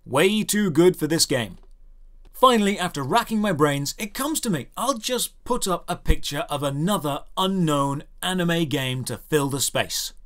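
A man's voice: on the high side, expressive, normal speed, fairly bright tone. A man hosting a scripted comedic game review, with a dry, sardonic and emphatic delivery. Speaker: video host, game reviewer.

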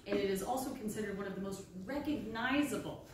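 A woman's voice: medium pitch, quick pace, a little hiss or hum. A woman in her thirties, a maritime archaeologist, giving a friendly, chatty public talk into a handheld microphone in a library. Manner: friendly, chatty presenter addressing the audience directly. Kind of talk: public lecture with slides. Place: library room with bookshelves, indoors. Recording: very echoey room, voice from a distance.

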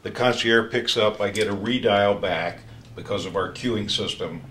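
Low-voiced older man, halting technical demo. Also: fairly bright tone. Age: 60s. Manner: halting, hesitant delivery with many pauses. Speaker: product trainer. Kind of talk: technical product demonstration. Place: small office meeting room, indoors. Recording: distant mic, echoey room, faint noise.

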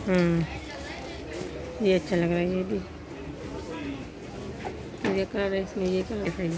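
A woman's voice: fairly low pitch, little pitch movement, slow. A woman from Pakistan vlogging as she walks through a market shop, upbeat and repeating prices for her viewers. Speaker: shopping vlogger. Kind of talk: casual walkthrough vlog. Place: crowded indoor market shop. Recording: loud market noise.

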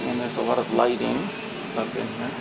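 A man walking through a large warehouse with a handheld camera, describing a used trade show booth for sale in a plain, unhurried way with many pauses. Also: medium pitch, level tone, vocal fry. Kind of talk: walkthrough tour. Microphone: handheld camera held by the speaker. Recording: noisy warehouse camera audio.

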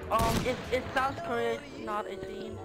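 A gamer chatting in an online game, often confused and protesting, and getting loud when excited. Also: mid-range voice, normal speed. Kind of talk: casual game chat. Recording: game music and gunfire behind.

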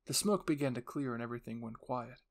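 A man's voice: medium pitch, fast talker. Man narrating a dramatic story. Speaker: narrator and voice actor.

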